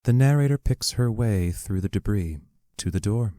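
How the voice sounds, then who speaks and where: A man with a slightly low voice narrates an audio play, reading out the stage directions. The sound is very dry and the delivery is scripted. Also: expressive, normal speed, dark tone, no echo.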